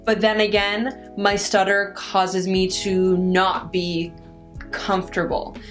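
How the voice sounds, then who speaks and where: A young woman talks through viewer questions at an unhurried, slow pace, often catching on the first sound of a word and restarting her sentences. Her pitch sits in an ordinary middle range for a woman. Soft guitar music plays faintly beneath her voice. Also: little pitch movement. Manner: stutters, repeats first sounds of words; halting, many restarts and pauses. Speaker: vlogger answering viewer questions. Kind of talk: casual Q&A chat.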